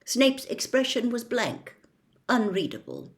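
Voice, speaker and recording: A woman's voice: fairly high pitch, a little slow. A woman reading a novel aloud as a storyteller, in dramatic, theatrical audiobook narration. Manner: expressive, theatrical.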